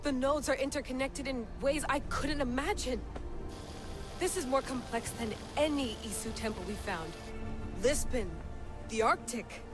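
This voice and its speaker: A woman's voice: high-pitched, unhurried, over music. An actress playing a driven modern woman explorer, intent and absorbed, speaking in short phrases in a video game. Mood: awed. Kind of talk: video game cutscene acting.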